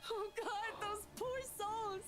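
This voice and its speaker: A woman's voice: extremely high pitch, steady tone, slow pace. An actress playing a distraught, haunted young woman, pleading and emphatic in dramatic visual novel style. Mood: haunted, horrified. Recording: music behind the voice.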